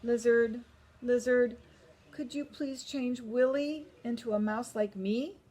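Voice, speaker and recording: A woman's voice: fairly high pitch, steady tone, takes it slowly, warm tone. A woman voicing a small, eager young male mouse in a storybook read-aloud, in a breathless, pleading manner. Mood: excited, pleading.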